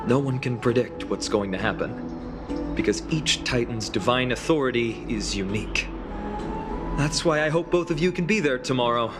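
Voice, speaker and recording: An actor playing an earnest young fantasy hero in a video game, speaking sincerely and politely. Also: male voice, medium pitch, expressive, normal speed, over music, natural voice. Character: earnest young male hero resolved to bear divinity.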